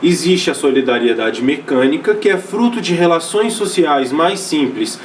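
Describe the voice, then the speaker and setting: A man's voice: on the high side, lively intonation, moderate pace, distant mic, slight background noise. A Brazilian man teaching a sociology class in a loose, conversational way, often restarting phrases and checking in with his students. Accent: Brazilian Portuguese. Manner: casual, many fillers and restarts, checks in with students. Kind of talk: recorded online class lecture.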